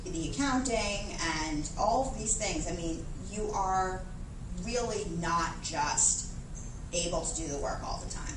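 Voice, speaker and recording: A woman speaking as a guest at a moderated panel, talking candidly and casually with frequent restarts. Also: lowish voice. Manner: candid, conversational, many restarts. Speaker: guest speaker, independent UX consultant. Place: event room with audience. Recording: echoey room, distant mic, noisy.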